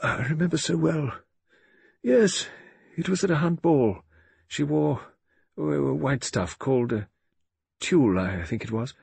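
A man voicing an elderly, shaken and devoted colonel in an audiobook, with halting, stammering, gruff delivery. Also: a little high, expressive, a little mellow, dry recording, pitched above the performer's own voice, heavily acted. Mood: wistful. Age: older. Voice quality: gruff, breathless.

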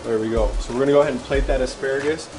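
A young man walks through a recipe in his own home kitchen, chatting casually as he works. His pitch sits in a middle range, and the narration stays relaxed and practical. Sizzling from a frying pan runs behind his voice. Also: very fast, background noise. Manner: relaxed step-by-step narration while cooking. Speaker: cooking show host. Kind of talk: casual cooking demonstration.

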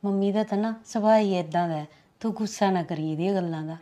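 A woman playing a sick young wife who talks quietly and softly to her visiting sister. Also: mid-range voice, fast, lightly acted. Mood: weary.

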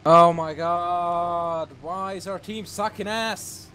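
A man chatting casually with a teammate while gaming, swearing freely in a halting way. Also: sits high, fairly even intonation, bright, forceful tone, a little hiss or hum. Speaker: video game player.

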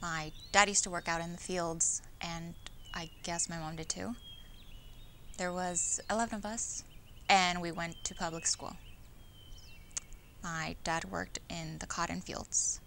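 A woman's voice: on the low side, steady tone, a little slow, long pauses, pushed and bright, slight background noise. A young woman acting as a plainspoken daughter of a big working family, reminiscing conversationally. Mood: matter-of-fact reminiscence. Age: young adult. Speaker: actor in an oral history dramatization. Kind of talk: dramatized conversational monologue.

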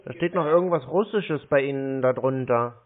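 Hesitant man stalling on a tinny phone line. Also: medium pitch. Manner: slow, hesitant, stalling with hums and fillers. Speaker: scam-baiter posing as a call target. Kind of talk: drawn-out phone call.

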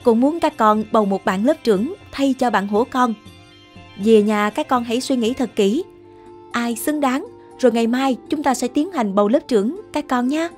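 A woman's voice: a little high, moderate pace, darkish tone, natural voice. Woman as a kind cartoon teacher talking to little pupils, instructive, music behind. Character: kindly schoolteacher addressing young animal pupils.

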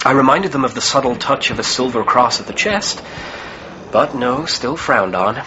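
A man's voice: medium pitch, animated, normal speed, highs cut off, natural voice. A man playing a droll priest over noisy ambience. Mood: wry. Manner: droll, gently teasing. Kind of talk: radio play acting. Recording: clearly noisy background ambience.

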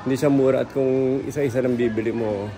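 A man's voice: mid-range voice, steady tone, fairly quick, over music, poor audio. A Filipino man in his thirties giving a chatty, teasing tour of a big indoor furniture mall, recorded on a camera held close as he walks. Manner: chatty, teasing, tour-guide banter mixing Tagalog and English. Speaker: vlogger. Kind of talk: casual vlog narration. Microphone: handheld camera held close.

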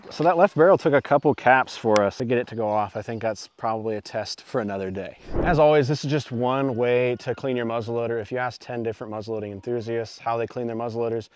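A man's voice: mid-range voice, quick pace, few pauses, noisy background. A man in his thirties giving an informal, self-effacing how-to demonstration outdoors, speaking into a clip-on mic. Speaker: muzzleloading channel host. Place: outdoors in woods. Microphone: clip-on lapel mic.

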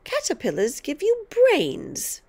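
A woman's voice: very high voice, wildly swooping pitch, takes it slowly, a little mellow, voice pushed up, exaggerated voice. A woman voicing a tiny, bossy, mean old grandmother who scolds and snaps with gloating emphasis in a storybook reading. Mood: bossy, snapping.

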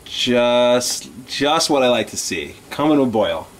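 A man's voice: medium pitch, expressive, a little slow, a little hiss or hum. A young man, a composer, hosting a joking home cooking show in a small kitchen, rambling mock-earnestly with lots of fillers. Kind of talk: tongue-in-cheek casual cooking show talk. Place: small home kitchen with brick wall, indoors.

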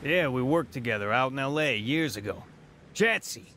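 Sharp-voiced, wheedling small-time hustler. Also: male voice, mid-range voice, lively intonation, moderate pace, slight background noise. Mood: chummy. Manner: wheedling, hesitant. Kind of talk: radio drama dialogue acting.

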